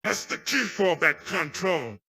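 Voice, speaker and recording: A synthetic male voice playing a power-hungry, boastful immortal djinn villain in a game cutscene, with grandiose, menacing delivery and a gravelly, husky tone. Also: high voice, lively intonation, measured pace, pushed and bright, heavily acted. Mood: grandiose menace. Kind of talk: dubbed video game cutscene dialogue.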